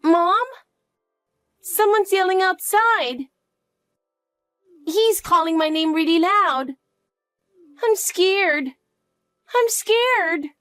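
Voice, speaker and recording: A woman's voice: very high voice, drawn-out words, long pauses, a bit bright, dry recording, clean studio sound, faint music behind, voice pushed up, heavily acted. A woman voicing an anxious, clingy young girl who pleads and asks question after question in a dramatized story. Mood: scared.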